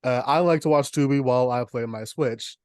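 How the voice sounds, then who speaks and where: A man's voice: mid-range voice, fairly quick, darkish tone, smooth, no room sound, clean studio sound. A young man co-hosting a podcast, telling stories in casual, humorous chat. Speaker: podcast co-host.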